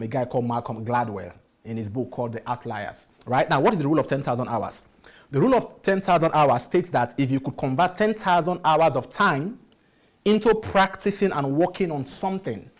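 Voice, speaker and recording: A man speaking at a motivational workshop, emphatic, repeating key phrases and putting rhetorical questions to his audience. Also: mid-range voice.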